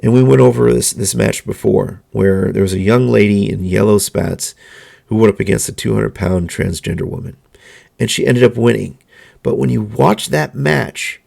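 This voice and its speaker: Guy doing a solo podcast rant, super gravelly voice, kinda hoarse, lots of ums. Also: fairly low pitch, darkish tone. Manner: earnest, measured, halting with fillers. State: hoarse, losing his voice. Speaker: podcast host. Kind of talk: solo opinion monologue.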